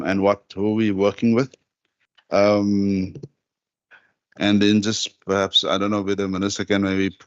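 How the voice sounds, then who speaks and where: Low flat-voiced man at a hearing. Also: dry recording, lo-fi sound. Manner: measured, hesitant with many fillers. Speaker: department head answering a committee. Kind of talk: formal committee testimony.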